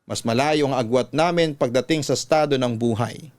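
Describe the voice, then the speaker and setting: A man narrating a sorrowful first-person letter as a radio-style storytelling host, solemn and measured. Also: medium pitch, dry recording.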